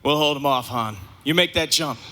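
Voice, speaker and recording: An actor playing a confident, loyal young starfighter pilot in a live audio drama, breezy and assured. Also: male voice, fairly high pitch, normal speed, sharp tone.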